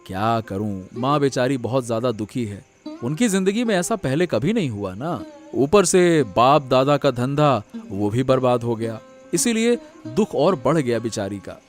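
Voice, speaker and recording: A man's voice: mid-range voice, very animated, unhurried, faint music behind. A man voicing an earnest, emphatic village samosa seller, a wronged and devoted son, in a Hindi moral-story cartoon. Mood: sorrowful.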